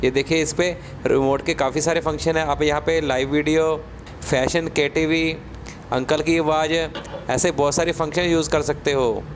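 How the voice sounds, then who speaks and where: A man's voice: fairly high pitch, steady tone, moderate pace, a little hiss or hum. A man presenting a gadget in a casual unboxing style, explaining things step by step. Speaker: tech channel presenter. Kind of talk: casual product unboxing narration.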